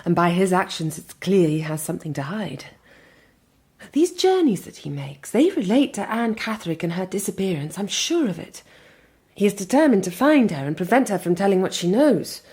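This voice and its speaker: Victorian English gentlewoman, resolute, protective sister, earnest formal speech, slightly husky, mid-pitched, radio drama. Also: sing-song, normal speed. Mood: earnest, conspiratorial. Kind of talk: radio drama acting with first-person narration.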